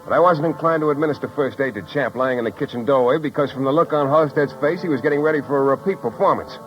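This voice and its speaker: A man's voice: fairly high pitch, fast, faint music behind, natural voice. An actor playing a hard-boiled, wisecracking private detective, with wry, clipped tough-guy delivery in a 1950s radio drama style. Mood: brisk. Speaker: lead actor and narrator. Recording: dull old 1950s radio recording.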